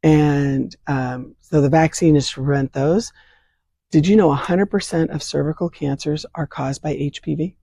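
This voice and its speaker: Hoarse older woman explaining earnestly. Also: low-pitched, steady tone, moderate pace, mellow, dry recording, clean studio sound. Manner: earnest, explains with vivid analogies. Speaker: state leader of a vaccine advocacy group. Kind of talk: explanatory interview answers. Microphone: remote interview from home.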